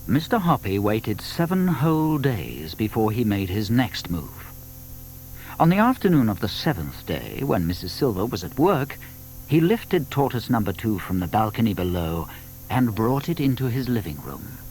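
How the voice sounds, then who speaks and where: A British man narrating a children's audio drama as a wry, measured storyteller. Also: fairly low pitch, very animated, a little mellow, slight background noise, low-quality recording.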